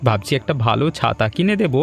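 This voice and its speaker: A man voicing a considerate, agreeable young husband and caring son-in-law in an animated story. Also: mid-range voice, quick pace, vocal fry, soft background music. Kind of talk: Bengali animated story dialogue.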